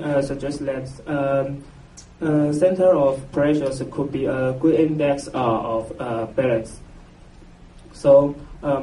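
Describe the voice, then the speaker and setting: A man from Taiwan with a Taiwanese accent gives a scientific conference presentation, halting and hesitant, with very frequent filled pauses. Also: mid-range voice, mellow. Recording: roomy, noisy, distant mic, muffled echo.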